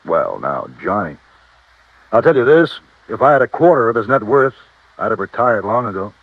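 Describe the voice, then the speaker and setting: An actor playing a genial, loyal bank cashier, folksy and emphatic, in 1950s radio style. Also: male voice, lowish voice, big pitch swings, moderate pace, dry recording, phone-quality sound. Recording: dry, thin old radio recording.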